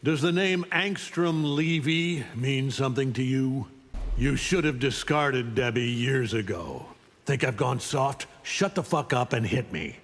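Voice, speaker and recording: An actor playing an arrogant middle-aged alien superhuman conqueror in a video game, imperious, threatening and condescending. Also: male voice, medium pitch, measured pace, lightly acted. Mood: cold, domineering. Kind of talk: video game fight-intro dialogue. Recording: long hall-like reverb.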